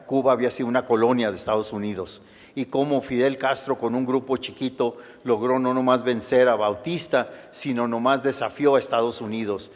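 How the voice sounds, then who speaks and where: Older man, Chicano accent, lecturing, thoughtful, frequent restarts, long hall reverb. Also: mid-range voice. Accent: Chicano Spanish. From United States. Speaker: guest lecturer, historian. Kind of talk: lecture answering audience questions.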